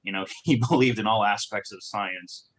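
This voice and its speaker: Low, bright-voiced man in halting talk. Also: rough recording. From Southern California. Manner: halting, many restarts and fillers. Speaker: startup founder. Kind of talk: conversational talk on a group call.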